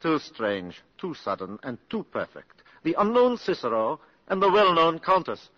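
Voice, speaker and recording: A man playing a suspicious, calculating Nazi colonel in a 1950s radio drama, speaking in a formal, measured way. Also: a little high, sing-song. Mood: cold suspicion. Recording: muffled, reverberant 1950s radio broadcast.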